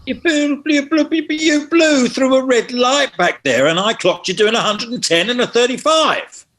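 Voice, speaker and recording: A man's voice: very high-pitched, a little slow, fairly bright tone. A man acting a self-important, stumbling police officer in a comic script read. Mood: stern, bumbling. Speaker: actor in a script table read. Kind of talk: comedic screenplay table read.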